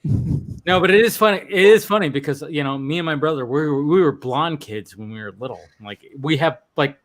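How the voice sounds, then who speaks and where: A man with a somewhat high-pitched voice talks on a livestream panel. His style is casual, conversational and easygoing. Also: fairly quick.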